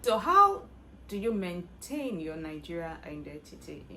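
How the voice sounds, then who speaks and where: Lively low-pitched woman asking questions. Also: measured pace. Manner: brief questions and soft backchannels. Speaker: interviewer. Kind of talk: interview questions.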